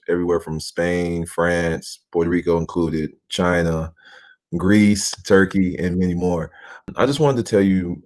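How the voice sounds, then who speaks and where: Deep-voiced man chatting easily. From Nigeria, raised in Houston. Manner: relaxed and earnest, many "you know" fillers. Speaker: channel co-host, professional basketball player. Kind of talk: casual chat.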